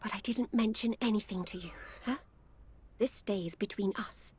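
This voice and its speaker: Guarded, world-wise game courtesan. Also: female voice, medium pitch, normal speed, husky. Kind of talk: video game voice acting.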